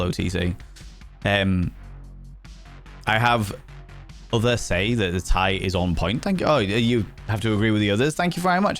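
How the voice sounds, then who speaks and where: A man with a Yorkshire accent, chatting casually with his live-stream audience, cheeky and teasing, swearing freely. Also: on the low side. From Yorkshire, England.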